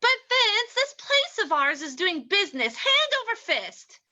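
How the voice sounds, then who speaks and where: Woman playing an uneasy, high-voiced gangster's moll. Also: very animated, measured pace, bright, forceful tone, highs cut off, heavily acted. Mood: uneasy, pleading. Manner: pleading, hesitant. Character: uneasy gangster's moll, reluctant female accomplice. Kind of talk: old-time radio drama acting.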